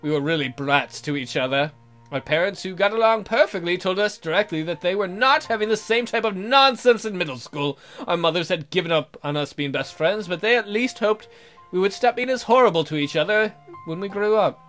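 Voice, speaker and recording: A man's voice: sits high, expressive, brisk, a bit bright, soft background music. A man hosting a let's play, reading a visual novel aloud in a jokey, theatrical, exaggerated way with comic asides.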